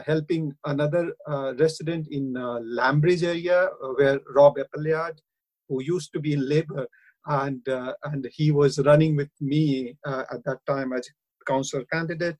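A middle-aged man with an Indian accent, speaking earnestly and haltingly in a radio interview, with frequent hesitations. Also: fairly high pitch, steady tone, darkish tone, poor audio. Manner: earnest, hesitant, many uh fillers. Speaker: councillor and university lecturer.

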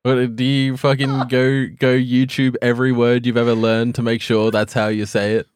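An Australian man co-hosting a podcast, blunt, swearing and cutting in with quick remarks. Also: medium pitch, completely flat delivery, a little mellow, clean tone, dry recording, studio quality. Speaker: podcast co-host. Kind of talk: casual podcast banter.

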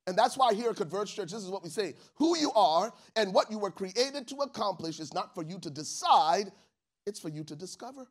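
A man from Liberia preaching a sermon to his church congregation, calling for responses and swinging from soft, low asides to shouted peaks. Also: animated, brisk. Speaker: pastor. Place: church stage with live congregation.

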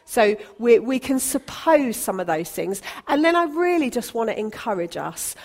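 A woman's voice: on the high side, big pitch swings, moderate pace. A woman pastor from Britain, preaching a sermon in a chatty, encouraging way with light humour. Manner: conversational, encouraging, self-deprecating humour.

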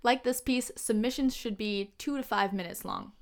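A woman's voice: a little high, moderate pace. A woman in her early twenties, a student co-host giving a scripted podcast introduction. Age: early 20s.

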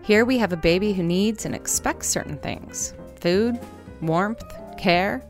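A woman reading the scripted introduction to a podcast episode at a measured pace. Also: mid-range voice, faint music behind. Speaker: podcast host.

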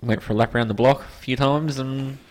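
A man chiming in with quick animated remarks on a casual sports podcast. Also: medium pitch.

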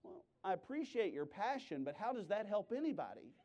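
Male preacher, high voice, emphatic delivery with sudden loud bursts and wry, joking storytelling. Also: sing-song, a little fast. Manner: playful, self-deprecating, sarcastic asides. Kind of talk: animated sermon with humorous storytelling.

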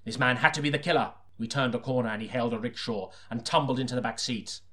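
Hard-boiled detective narrator, acted by a man: medium pitch, earnest, dramatic storytelling for a crime audiobook. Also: quick pace, a bit bright, natural voice. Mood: urgent, dramatic. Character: deadpan melodramatic hard-boiled detective narrator, secretly a sinister killer.

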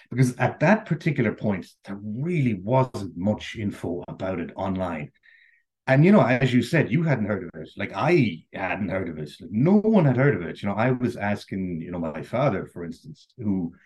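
Irish male guest, deep voice, slightly husky, loose chatty storyteller. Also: darkish tone, rough recording. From Ireland. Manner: rambling, full of "you know" and "like". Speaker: interview guest. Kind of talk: casual conversational storytelling.

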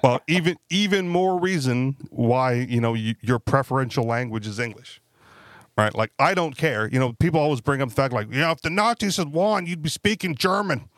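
Male co-host, relaxed conversational delivery, tag questions, medium pitch, close dry sound. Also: normal speed, no room sound. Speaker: podcast co-host. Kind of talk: casual panel discussion.

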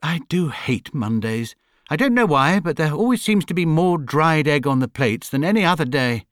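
A kindly, fretful storybook mother, as a male reader plays her. She is gentle and apologetic, with an expressive, moving pitch and a dry, close sound. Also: moderate pace, dry recording. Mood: weary, fretful. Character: kindly, flustered mother of a family.

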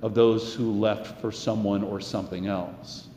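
A man's voice: on the low side, steady tone, unhurried, dark tone, slight background noise. Man preaching in an echoey, reverberant hall. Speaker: pastor. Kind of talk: sermon. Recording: echoey, reverberant church sound.

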